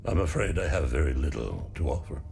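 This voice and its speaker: An actor playing a weary, stoic older farmer in a video game, grieving and embittered by war, speaking in a measured way. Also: male voice, rumbling low, big pitch swings, creaky, darkish tone, a little hiss or hum, exaggerated voice. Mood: weary, sorrowful. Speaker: non-player character. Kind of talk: video game dialogue acting.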